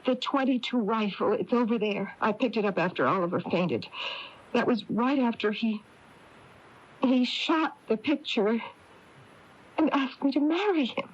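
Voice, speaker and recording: A woman's voice: fairly high pitch, unhurried, pauses often, husky. An actress playing an uneasy small-town woman recounting a strange visit in a 1980s radio drama. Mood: anxious, wondering. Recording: dull lo-fi taped radio broadcast.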